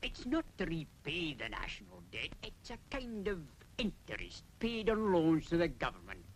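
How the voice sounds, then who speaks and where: A man's voice: a little high, huge pitch swings, a little hiss or hum. An actor playing an earnest Scottish man who expounds national duty in declamatory 1940s public information film style. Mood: explanatory. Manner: declamatory, deliberate. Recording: 1940s film soundtrack with faint music.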